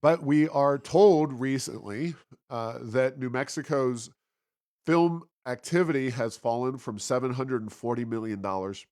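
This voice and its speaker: A man's voice: mid-range voice, measured pace, a little mellow, dry recording, studio quality. A man hosting a talk podcast, giving unscripted political and economic commentary in a discursive, argumentative manner.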